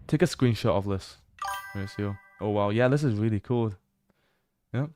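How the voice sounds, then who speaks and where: A young British man livestreaming as he explores a game. He chats casually, swears freely and bursts into excited exclamations. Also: on the low side, moderate pace, faint music behind.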